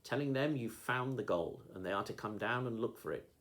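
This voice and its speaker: A man's voice: medium pitch, a little fast, fairly bright tone, natural voice. A man doing a cold, threatening kidnapper. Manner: cold, commanding. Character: menacing adult male kidnapper, cold and threatening.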